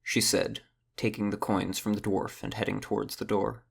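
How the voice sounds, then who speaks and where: A man with a gravelly, husky voice reading scripted narration for a fantasy audio drama. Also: fairly low pitch, flat delivery, normal speed.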